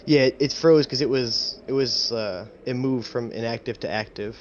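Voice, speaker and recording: A man's voice: mid-range voice, moderate pace. Hesitant man on dull, lo-fi audio. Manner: hesitant, self-correcting, thinking aloud. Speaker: tabletop game player. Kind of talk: casual game table chat. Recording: dull lo-fi audio with faint noise.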